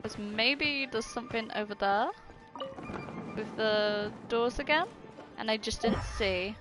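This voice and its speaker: A woman's voice: a little high, a little slow. A woman streaming a video game, giving casual, reactive commentary and playfully heckling the characters. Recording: game music under the voice. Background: video game music and sound.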